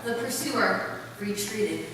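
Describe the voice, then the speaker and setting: Woman as earnest missionary storyteller, bright declamatory voice, somewhat high, recorded from far off in a hall. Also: lively intonation, takes it slowly. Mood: solemn. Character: narrator and missionary pilot's devoted sister, earnest. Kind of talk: readers' theater recital, scripted narration. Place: large indoor recital hall, distant microphone. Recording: echoey hall from far off, faint noise.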